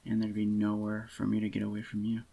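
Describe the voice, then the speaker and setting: Low, soft-spoken man playing a doting boyfriend. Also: monotone, vocal fry, highs cut off. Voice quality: slightly groggy voice. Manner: intimate, doting, unhurried murmur. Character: adoring possessive young boyfriend, tender and clingy. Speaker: ASMR voice actor.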